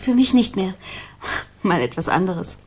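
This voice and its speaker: A woman playing a modest, playful character in a radio drama, with a light, self-effacing manner and husky voice. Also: fairly low pitch, very animated, measured pace, darkish tone, exaggerated voice. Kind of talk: German radio drama dialogue.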